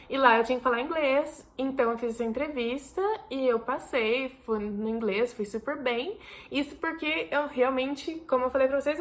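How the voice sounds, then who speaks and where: A woman in her twenties from Brazil, chatting casually to camera in a rambling way, full of restarts and fillers. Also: a little high. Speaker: vlogger.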